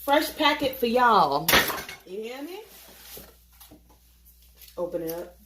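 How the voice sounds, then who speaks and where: A woman's voice: fairly high pitch, very animated, slow pace, reverberant, very noisy. A tired woman of Haitian family chatting casually and joking while she cooks late at night in her home kitchen, swearing now and then. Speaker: home cook vlogger. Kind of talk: casual cooking vlog chat.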